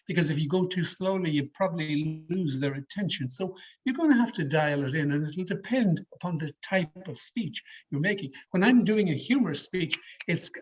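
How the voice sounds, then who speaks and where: A middle-aged Irishman with a slightly high voice coaches public speaking in a deliberate, emphatic way. He answers questions over an online call, and the sound is a little dull and lo-fi. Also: slightly rough. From Ireland. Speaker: speaker leading a public speaking education session. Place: online video meeting.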